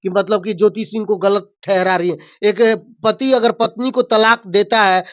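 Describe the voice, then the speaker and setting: A woman interviewer speaks at a middling pitch. She puts her questions conversationally, as on a web news channel. The recording is somewhat dull and lo-fi. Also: steady tone, normal speed. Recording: dull, lo-fi sound.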